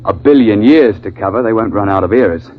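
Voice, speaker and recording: An actor playing a brisk, commanding British big-game guide who tells his story in 1950s radio drama style, clipped and authoritative. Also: fairly low pitch, slight background noise, close to an everyday voice. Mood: calm explaining. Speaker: narrator and safari leader. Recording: dull vintage 1950s radio broadcast.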